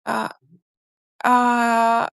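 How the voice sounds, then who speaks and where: Brief: woman in her twenties from Crimea, non-native English speaker, slow and halting, dry close sound. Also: fairly high pitch, monotone, creaky, a bit bright, no echo. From Crimea, Ukraine. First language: Crimean Tatar. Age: 20s. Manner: halting, many fillers and restarts, apologetic. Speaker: interview guest. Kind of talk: casual interview talk.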